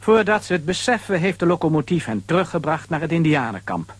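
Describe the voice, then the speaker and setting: A man's voice: a little high, very animated, quick pace, faint music behind. A man narrating a children's radio play as a scripted storyteller.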